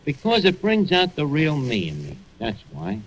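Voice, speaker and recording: An actor playing a wisecracking tavern manager with big pretensions, slangy and ungrammatical, with a New York accent, in 1940s radio comedy style. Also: male voice, a little high, huge pitch swings, moderate pace, poor audio. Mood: pompous, mock-poetic. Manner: slangy ungrammatical wise guy. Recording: old radio recording, faint noise.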